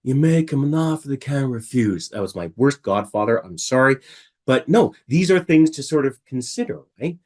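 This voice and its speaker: A middle-aged man with a raspy voice from illness, giving a chatty webinar talk from home and often asking rhetorical questions. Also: medium pitch, animated, normal speed, darkish tone. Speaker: webinar presenter. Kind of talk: casual presentation.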